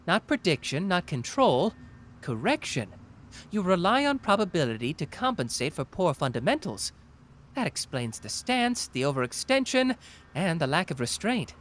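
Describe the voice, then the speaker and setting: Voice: medium pitch, sing-song, moderate pace, a bit bright, a little hiss or hum. A performer playing a cocky, playful young male genius detective in an anime roleplay, taunting and analytical in a theatrical way. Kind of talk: anime roleplay audio drama, deduction monologue.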